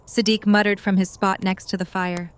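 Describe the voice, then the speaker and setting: A woman's voice: mid-range voice, level tone, moderate pace, clear, resonant tone. A woman reading scripted third-person narration for an audio drama.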